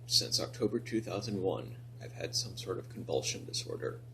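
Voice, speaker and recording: A man in his thirties from Northern California who tells his own story in a soft, halting way, full of pauses and restarts, sometimes close to a whisper. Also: sits low, lively intonation, background noise. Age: 30s. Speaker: vlogger telling his story. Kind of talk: personal confessional vlog.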